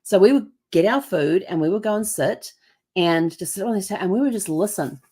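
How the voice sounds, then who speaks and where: A woman's voice: mid-range voice, lively intonation, brisk, dark tone, slightly rough. A middle-aged woman from New Zealand with a mixed Kiwi and American accent, telling travel stories in a chatty, rambling way. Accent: mix of New Zealand and American. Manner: chatty, lots of ums and restarts, puts on voices for others. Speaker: host. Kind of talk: casual travel storytelling.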